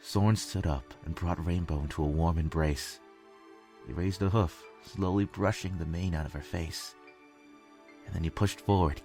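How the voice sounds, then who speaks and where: Man, very low voice, scripted dramatic story narration, soft music underneath.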